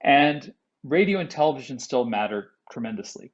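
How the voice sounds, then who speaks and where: Male panelist, mid pitch, halting unscripted delivery, slightly muffled lo-fi audio. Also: expressive. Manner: restarts and repeats words often. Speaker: panel speaker. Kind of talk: conference panel remarks.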